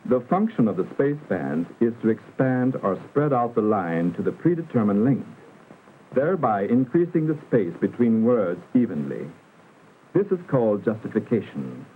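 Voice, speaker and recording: A man narrating a 1960s educational film in a formal, instructional manner with careful, measured enunciation. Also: on the low side, very animated. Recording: muffled vintage film soundtrack, narrow band, hiss.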